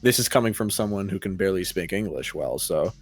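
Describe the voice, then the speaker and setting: A man hosting a podcast, talking in a relaxed way, swearing casually and laughing often. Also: deep voice, fast talker. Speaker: podcast host.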